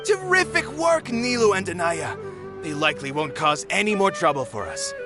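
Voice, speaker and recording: A man's voice: high-pitched, sing-song, moderate pace, music in the background. An actor voicing an outspoken young man from a theater troupe in a game. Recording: game cutscene with faint score.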